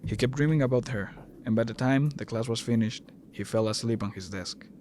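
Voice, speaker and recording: A man's voice: medium pitch, fairly quick, darkish tone, a little hiss or hum. A man reading scripted narration for an audio drama.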